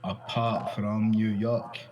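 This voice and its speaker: Slow, low-voiced Glaswegian man. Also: flat delivery, highs cut off. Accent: Glaswegian Scottish. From Glasgow, Scotland. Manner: loose, rambling, swears freely, repeats himself. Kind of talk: casual conversation.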